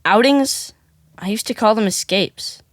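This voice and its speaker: A man's voice: high voice, measured pace, a bit bright, dry recording. An actor playing a stubborn, literal-minded boy in an audio comedy drama, snarky and questioning, with an American accent. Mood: wry, resigned.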